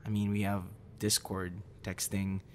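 A young man acting a busy, guarded friend in an intimate audio roleplay, with halting, stammering delivery. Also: lowish voice, monotone, slight background noise, lightly acted. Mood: curt, guarded.

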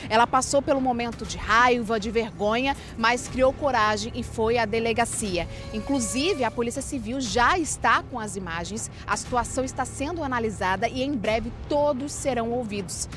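A woman's voice: on the high side, normal speed, few pauses, music in the background. Formal street reporter, woman. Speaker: field reporter. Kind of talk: live news report. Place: outdoors on a city street at night. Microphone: handheld mic.

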